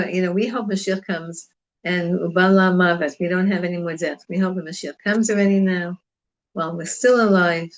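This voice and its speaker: A woman's voice: lowish voice, poor audio. A woman teaching an informal religious class on the Psalms, talking in a conversational, digressive way and mixing Hebrew terms into her English. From United States.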